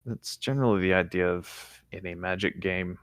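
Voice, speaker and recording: Male co-host, lowish voice, relaxed read-aloud with conversational asides, recorded dry. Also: moderate pace, dry recording. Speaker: co-host reading fan fiction aloud. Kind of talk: casual read-aloud with side chat.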